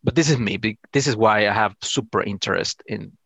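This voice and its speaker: A middle-aged man with a low, creaky voice and a Salvadoran Spanish accent. He answers interview questions casually in halting English, circling back over words as he goes. The sound is close and dry. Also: a bit bright, dry recording. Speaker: interview guest, amateur astronomer.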